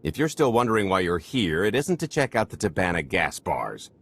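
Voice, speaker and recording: An actor playing a cocky, roguish space smuggler with a glib, sardonic manner in a video game. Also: male voice, low voice, big pitch swings, a little fast, a bit bright, breathy edge, full character voice. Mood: confident scheming. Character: cocky roguish male smuggler, a wisecracking heist planner.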